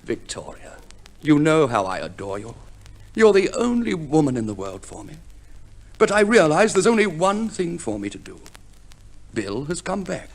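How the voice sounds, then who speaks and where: An actor playing a peevish, dryly sarcastic English gentleman in a 1950s radio play, with a British accent and a clipped, theatrical manner. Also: a little high, big pitch swings, moderate pace. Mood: mock-noble. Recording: noisy old radio recording.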